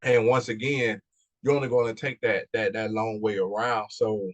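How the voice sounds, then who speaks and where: Male podcast guest, business coach, lowish voice, earnest motivational talk with repeated phrases. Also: flat delivery, normal speed, lo-fi sound. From Mississippi, USA. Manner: earnest advice-giving, repeats phrases as he goes. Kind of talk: casual motivational interview talk.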